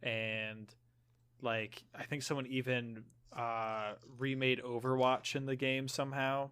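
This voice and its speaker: A man's voice: medium pitch, level tone, slow pace, fairly bright tone, smooth. Man chatting casually, rambling explainer. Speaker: podcast co-host. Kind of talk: casual gaming chat.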